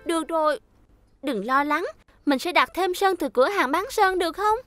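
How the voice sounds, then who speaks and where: A bubbly young cartoon vehicle with an extremely high, childlike voice. The delivery is expressive and cheerful, with music clearly playing behind it. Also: female voice, normal speed. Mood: apologetic. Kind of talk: dubbed children's cartoon acting.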